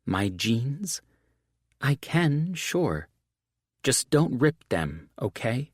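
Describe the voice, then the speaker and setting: A man voicing a wary young human woman in an audiobook, lifting his voice higher for her lines. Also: sing-song, drawn-out words, slightly rough, no room sound, studio quality, full character voice. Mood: uneasy. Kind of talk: audiobook character dialogue.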